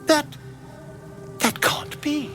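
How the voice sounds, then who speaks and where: A man's voice: drawn-out words, over music. A man voicing a chatty, self-important amateur scholar in a video game, theatrical and easily flustered. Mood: curious, incredulous. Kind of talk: video game character dialogue.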